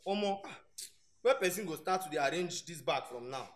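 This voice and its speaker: A man's voice: on the high side, animated, brisk, heavy background noise, close to an everyday voice. A young man with a Nigerian accent playing an eager, timid university fresher in a radio drama. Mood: casual, friendly. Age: young adult. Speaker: actor.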